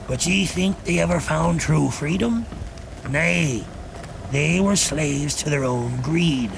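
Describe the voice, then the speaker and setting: A cursed old pirate captain haunting the seas, spinning grim tales in a thick pirate dialect. His voice is gravelly and a little raised in pitch. He speaks very slowly and ominously, with theatrical grandeur. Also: background noise, full character voice. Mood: grave, scornful. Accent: pirate-style dialect. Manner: ominous, grandiose, deliberate rhetorical delivery. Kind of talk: theatrical scripted monologue.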